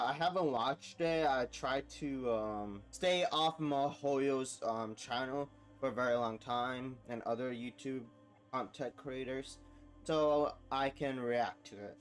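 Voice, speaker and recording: Young male gaming host, mid-pitched voice, slangy and enthusiastic reaction commentary, light music behind.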